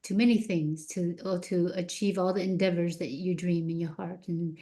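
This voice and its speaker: Woman, candidate, somewhat low pitch, earnest, halting, fillers. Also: fairly even intonation, mellow. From outside the US. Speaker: school board candidate. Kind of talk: candidate opening statement.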